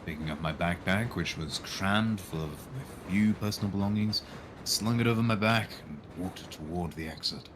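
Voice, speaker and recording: Low-pitched man reading a game aloud, noisy background. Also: moderate pace. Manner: dry, irreverent asides. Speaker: streamer reading a visual novel aloud. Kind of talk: live read-aloud of a game with casual joking asides.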